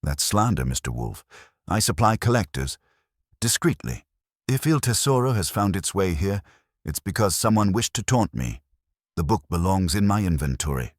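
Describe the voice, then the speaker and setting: A man's voice: deep voice, big pitch swings, normal speed, no echo, clean studio sound, voice pushed up. A man voicing a brazen, slippery rare-book dealer in an audiobook, indignant and self-justifying.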